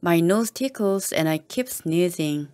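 Slow, deliberate woman reading practice lines. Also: lowish voice. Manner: calm, even and carefully spoken, each sentence said the same way again and again. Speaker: English teacher reading model sentences. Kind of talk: slow, deliberate repeated phrases for learners to copy.

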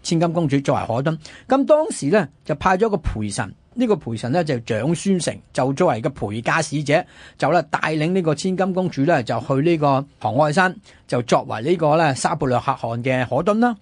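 A man with a Hong Kong Cantonese accent telling history conversationally as a radio host, animated and fond of rhetorical questions. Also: on the high side, a little fast, husky, no room sound. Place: radio studio.

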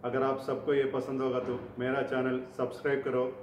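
A man's voice: medium pitch, completely flat delivery, normal speed. A middle-aged man gives an informal instructional talk to camera, explaining in simple, repetitive phrases. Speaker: fitness vlogger. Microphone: camera a few feet away. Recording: echoey room, distant mic, faint noise.

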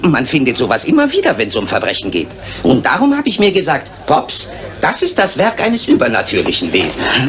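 A superstitious village postman in a radio mystery. The voice is nervous and excitable, leaping up and down, with a busy pub crowd around it. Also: mid-range voice, brisk. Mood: spooky excitement. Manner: excitable, timid. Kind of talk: German radio crime drama acting.

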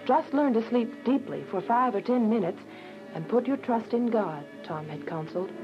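A woman's voice: on the high side, expressive, normal speed, close to an everyday voice. A woman playing an earnest, devout young prisoner, narrating her story in a radio drama. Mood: somber, reflective. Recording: dull recording with music behind. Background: music.

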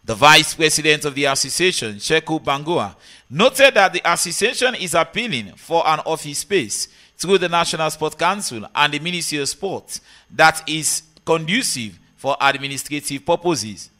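A man's voice: a little high, expressive, normal speed, sharp tone. A man, narrating a scripted news report as a sports correspondent.

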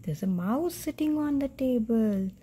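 A woman's voice: fairly high pitch, expressive, a little slow, mellow, slight background noise. A woman with an Indian accent reading a picture book to a small child and asking simple, instructive questions. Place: at home, indoors on a bed.

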